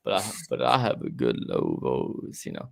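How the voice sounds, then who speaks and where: A man's voice: very deep voice, huge pitch swings, normal speed, vocal fry. Rambling, cursing male podcast co-host. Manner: loose and rambling, swears casually. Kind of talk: casual chat.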